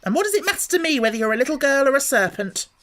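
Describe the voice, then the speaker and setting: Man doing a pigeon, female, shrill, scornful, high-pitched, storybook. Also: big pitch swings, racing, a bit bright, heavily acted. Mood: scolding. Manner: shrill, contemptuous, scolding. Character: fussy shrieking female pigeon guarding nest.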